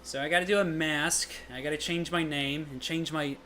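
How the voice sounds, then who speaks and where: A man with a bright, tense, nasal voice, pitched a little high. He chats as a guest, mocking himself and laughing easily. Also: fairly even intonation, normal speed. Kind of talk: casual chat over a game stream.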